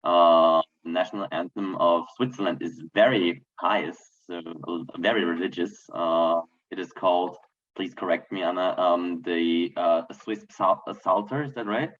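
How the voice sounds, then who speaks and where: Deep-voiced man on dull lo-fi audio. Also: unhurried, fairly bright tone. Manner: hesitant, many fillers. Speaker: workshop participant. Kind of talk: reporting back in an online seminar.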